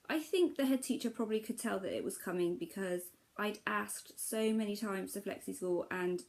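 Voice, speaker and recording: A woman's voice: medium pitch, darkish tone. Chatty mother vlogging. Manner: chatty and explanatory, frequent ums. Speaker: homeschooling mother. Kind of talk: casual vlog talk to camera.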